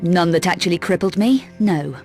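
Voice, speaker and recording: An actress playing a tough, self-assured young female adventurer in a video game, curt, sardonic and quietly threatening. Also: on the low side, normal speed, faint music behind, close to an everyday voice. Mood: hard, threatening. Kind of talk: video game cutscene acting.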